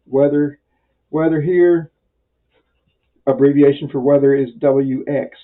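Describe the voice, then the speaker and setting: A man's voice: fairly high pitch, steady tone, slow pace, phone-quality sound, background noise. American man teaching informally, rambling delivery. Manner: rambling, conversational, self-deprecating. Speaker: amateur radio instructor. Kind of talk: informal spoken tutorial.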